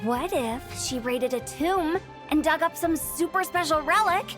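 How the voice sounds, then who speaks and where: A woman's voice: high-pitched, animated, a little slow, music in the background. A woman voicing a playful, imaginative teenage girl with a dramatic manner in a video game. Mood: dramatic, excited. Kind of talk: animated video game voice acting.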